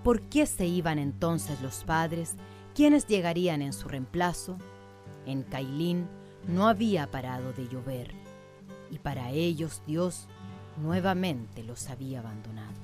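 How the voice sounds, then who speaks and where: A woman with a rather low voice narrates a radio drama. Her delivery is scripted, literary and solemn, like a storyteller's, and music plays clearly behind her. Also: animated, measured pace.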